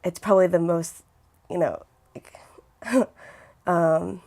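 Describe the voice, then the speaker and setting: An eighteen-year-old woman with a raspy voice who keeps clearing her throat, speaking earnestly and reflectively in a documentary interview. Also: fairly low pitch, slow pace, a little mellow. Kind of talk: personal documentary testimony.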